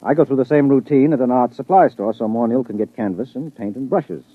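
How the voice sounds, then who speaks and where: A man's voice: medium pitch, fairly quick, no room sound, close to an everyday voice. A man playing a chatty, sheepish poet. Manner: chatty, rambling and apologetic. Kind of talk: 1950s radio drama acting. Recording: thin phone-like old radio sound.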